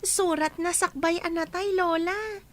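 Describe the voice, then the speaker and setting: A woman's voice: extremely high pitch, fairly even intonation, unhurried, a bit bright. A woman playing an anxious, frank young grandchild who pleads plaintively in a radio drama. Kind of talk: Filipino radio drama acting.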